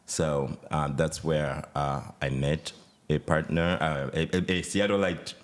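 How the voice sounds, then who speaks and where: A man in his thirties from Uganda with a Ugandan accent, a podcast guest talking in a relaxed, hesitant way with many fillers. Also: a bass voice, takes it slowly. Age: 30s. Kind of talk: casual interview chat.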